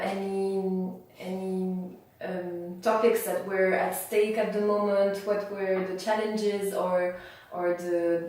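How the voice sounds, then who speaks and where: A woman's voice: medium pitch, darkish tone. Young woman, interviewer, hesitant questions, distant mic, echoey office. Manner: hesitant questions, frequent backchannel mm-hmms. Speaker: interviewer, network coordinator. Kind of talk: casual interview. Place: small office with posters and plants. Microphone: camera mic from across the room.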